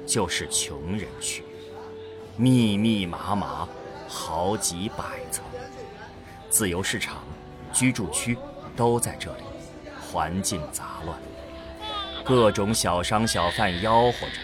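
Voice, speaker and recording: A man's voice: fairly low pitch, animated, slow pace, music in the background. A man narrating a scripted science fiction audio drama.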